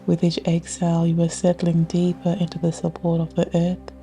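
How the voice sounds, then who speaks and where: A woman guiding a scripted yoga nidra meditation in a soothing, measured way with long pauses. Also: fairly low pitch, completely flat delivery, dark tone. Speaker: meditation guide. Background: ambient music with ocean sounds.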